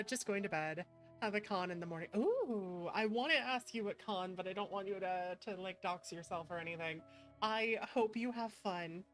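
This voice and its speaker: Woman, game streamer, casual chat, expressive reactions, mid-range voice, soft music behind. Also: mid-range voice.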